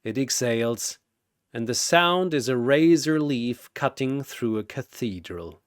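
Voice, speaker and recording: Guy narrating an epic story, slow and theatrical, really varied pitch, dry close sound. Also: animated, dry recording. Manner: theatrical, deliberate phrasing. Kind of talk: dramatic scripted story narration.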